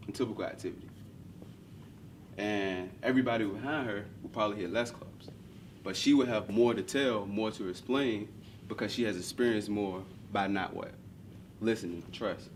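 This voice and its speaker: A man's voice: lowish voice, a little hiss or hum. A man leading a workshop discussion, instructing the group and posing rhetorical questions.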